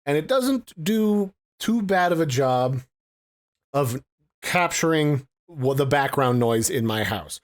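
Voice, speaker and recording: A man's voice: a little high, animated, slow pace. A man giving a conversational, informal product review, explaining things in a casual unboxing style.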